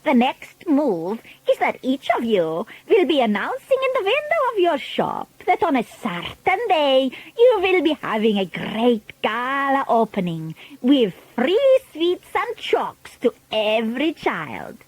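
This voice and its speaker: Shrill, imperious witch leader with foreign accent. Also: wildly swooping pitch, no room sound, heavily acted, low-quality recording. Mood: grand scheming. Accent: foreign, non-native English. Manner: grandiose, commanding, drawn-out emphatic words. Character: imperious, menacing child-hating witch leader.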